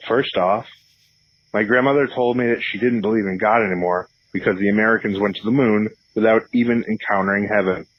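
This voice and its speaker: A man's voice: lowish voice, fairly even intonation, brisk, dry recording, natural voice. A man playing a cocky, crude, argumentative atheist caller on a comedy radio show, sneering and dismissive. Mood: smug arguing. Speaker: phone-in caller. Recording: slightly dull phone-in sound.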